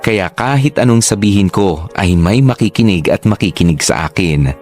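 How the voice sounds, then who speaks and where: Isang lalaking tagapagsalaysay ng dramang panradyo na taimtim na nagkukuwento ng buhay ng isang dalaga sa unang panauhan. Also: male voice, deep voice, lively intonation, normal speed, a little mellow, soft background music. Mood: earnest, reflective. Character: narrator reading a young woman's earnest life story. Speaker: radio drama narrator. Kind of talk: scripted first-person story narration.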